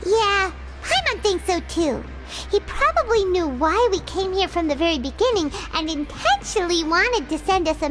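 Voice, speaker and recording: A woman voicing a tiny, chatty, excitable fairy-like companion in an anime game, squeaky and childlike, exclaiming often. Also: low-quality recording. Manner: refers to self in third person, exclamatory. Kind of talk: English anime game voice acting. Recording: game dialogue over background music.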